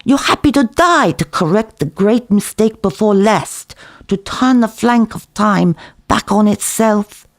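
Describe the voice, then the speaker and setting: A man's voice: sits high, expressive, a little slow, dry recording, pitched above the performer's own voice. A man voicing a world-weary, hectoring Israeli kebab-shop worker who lectures and cajoles emphatically. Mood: lecturing.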